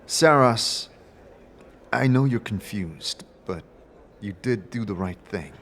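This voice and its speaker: A man playing an earnest, tactful wanderer in an audio drama, measured and careful in his speech. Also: fairly low pitch, animated, a bit bright, slight background noise, heavily acted. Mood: gentle, reassuring. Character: earnest, calm male wanderer, tactful and direct.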